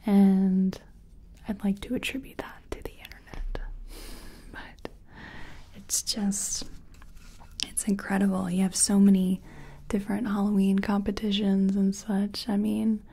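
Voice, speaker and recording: A woman playing a gentle, chatty makeup competition contestant in a soft-spoken, whispery ASMR personal attention role-play. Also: medium pitch, flat delivery. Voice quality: breathy, whispery. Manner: gentle, unhurried, chatty, reassuring. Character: friendly, self-taught makeup competition contestant.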